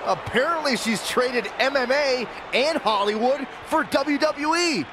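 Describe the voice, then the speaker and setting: A man playing an excitable play-by-play wrestling announcer in a video game. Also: very high-pitched, measured pace, noisy background. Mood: excited. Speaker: wrestling commentator. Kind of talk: sports commentary in a video game. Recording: loud roaring arena crowd behind.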